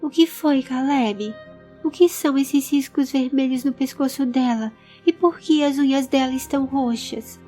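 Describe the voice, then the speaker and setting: A woman's voice: high voice, moderate pace, warm tone, faint music behind, pitched above the performer's own voice, natural voice. A woman voicing an anxious, pleading young male companion in an audio drama. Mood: scared. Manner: urgent, distressed, pleading. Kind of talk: audio drama character acting.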